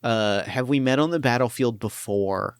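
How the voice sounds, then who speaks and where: A man's voice: mid-range voice, moderate pace, clean tone, dry recording, studio quality. A man running a tabletop actual play as game master, musing about his character in a rambling, thoughtful way with many restarts.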